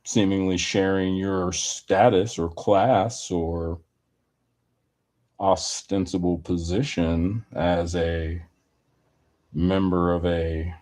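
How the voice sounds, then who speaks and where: A man giving a rambling personal opinion monologue in a deliberate, halting manner with wordy, formal phrasing. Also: low voice, steady tone, a little mellow.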